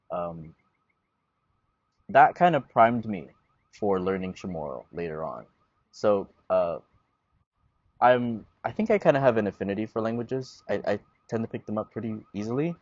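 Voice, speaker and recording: Low-voiced young man explaining thoughtfully. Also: no echo, lo-fi sound. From Guam. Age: 20s. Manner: thoughtful, explains at length with hand gestures. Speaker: guest, Chamorro language learner. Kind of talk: casual panel conversation. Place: outdoors at a table in a leafy garden. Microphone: lapel mic.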